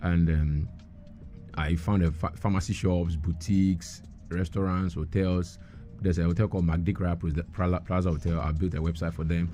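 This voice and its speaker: A man's voice: low voice, little pitch movement, normal speed, dark tone, faint music behind. A middle-aged man who grew up in Nigeria, a guest in a radio interview, telling his story earnestly with many ums and restarts. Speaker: guest entrepreneur.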